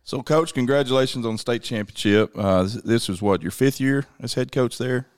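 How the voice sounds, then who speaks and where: A man's voice: medium pitch, moderate pace, smooth, dry recording. A man, asking questions in a casual sports interview as one of the hosts. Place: studio.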